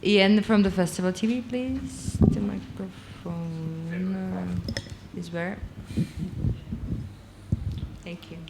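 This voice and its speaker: A woman moderating a press conference with brisk, short prompts. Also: on the low side, steady tone, very noisy.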